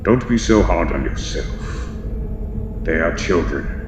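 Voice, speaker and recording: An actor playing a cold, commanding, manipulative supervillain scientist with a pompous, deliberate delivery. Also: male voice, deep voice, lively intonation, noisy background. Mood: cool, placating. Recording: muffled, echoing, distant, under loud music.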